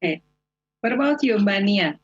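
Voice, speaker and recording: A woman moderates the discussion and prompts each speaker in turn. Her pitch moves a lot. The audio is dull video call sound, dry and lo-fi. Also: animated, normal speed, husky, no echo.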